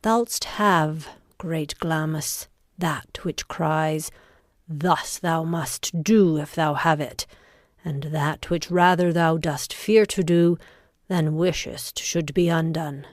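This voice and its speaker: A woman playing an ambitious, commanding noblewoman who schemes, in a dramatic Shakespearean reading with deliberate, intense delivery. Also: low-pitched, fairly even intonation, no echo, clean studio sound. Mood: scheming.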